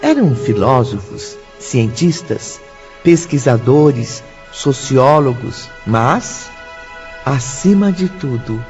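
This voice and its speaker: Reverent storyteller, Brazilian accent, very slow, animated pitch, declamatory radio drama narration. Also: male voice. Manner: formal, measured, declamatory. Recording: slightly dull recording. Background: clear music.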